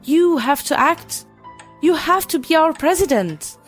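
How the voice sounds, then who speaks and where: A woman's voice: sits high, expressive, measured pace, faint music behind. A woman playing a loyal, outspoken housemaid in an audio drama, earnest and formal. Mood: pleading, insistent.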